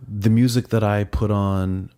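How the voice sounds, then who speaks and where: A very deep-voiced man hosts a casual talk show. He gushes with enthusiasm, stammering and restarting his sentences as he shares his thoughts and asks questions. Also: steady tone, measured pace, warm tone, clear, resonant tone. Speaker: podcast host. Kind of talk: casual interview chat.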